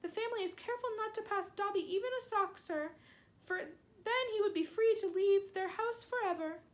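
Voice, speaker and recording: A woman voicing a timid, servile male house elf who speaks in a high, squeaky, pleading way. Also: normal speed. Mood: earnest. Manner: high squeaky pleading, third-person self-reference.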